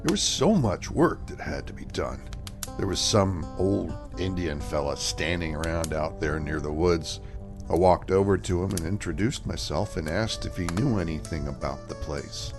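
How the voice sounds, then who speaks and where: A man playing a relaxed, chatty middle-aged cabin owner in an amateur radio drama. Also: sits low, normal speed. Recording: music score behind.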